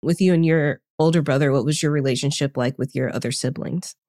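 A woman's voice: low voice, brisk, a little mellow, dry recording, clean studio sound. A woman hosting a podcast interview, putting short questions to a guest in a conversational way. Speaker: podcast host. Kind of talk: interview, short listening responses.

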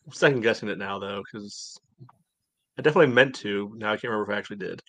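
A man's voice: on the low side, fast talker, fairly bright tone, slight background noise. A man on a sports podcast panel, chatting casually.

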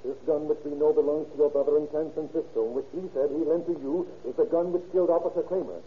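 An actor playing a firm, skeptical police detective, blunt and authoritative, in a classic radio drama. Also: male voice, fairly high pitch, steady tone, fast talker, lightly acted. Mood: stern, skeptical. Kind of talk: 1930s-40s radio police drama acting. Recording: tinny, hissy old radio recording.

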